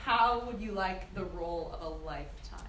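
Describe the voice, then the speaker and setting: A woman at a screenplay table read playing a popular, bossy and sarcastic high school girl. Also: normal speed, a bit bright, natural voice. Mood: determined. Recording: echoey room with faint noise.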